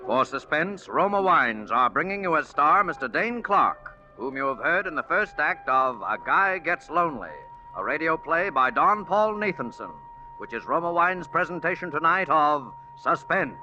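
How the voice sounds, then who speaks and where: Higher-pitched man, vintage American radio announcer. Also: animated. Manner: formal, measured. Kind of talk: 1940s sponsored radio announcing, scripted. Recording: muffled old radio broadcast, music behind.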